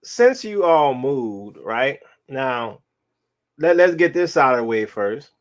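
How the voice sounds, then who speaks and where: A man hosting an interview, relaxed and casual, laughing easily. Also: medium pitch, slow. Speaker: host.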